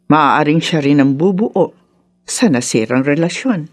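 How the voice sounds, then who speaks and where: Husky, consoling older mother. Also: low-pitched, animated, unhurried. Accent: Filipino. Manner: earnest, soothing, counselling. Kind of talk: Filipino radio drama acting.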